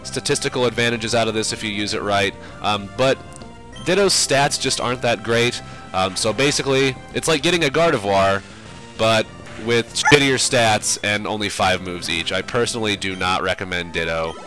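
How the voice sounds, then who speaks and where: A young man with a stuffy, sinusy voice from a cold, talking casually over gameplay with plenty of ums and uhs. His tone sits a bit low but comes out bright and forceful, with faint game music running behind. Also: normal speed, poor audio. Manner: casual, rambling, many fillers. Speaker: game commentator. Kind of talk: let's play commentary.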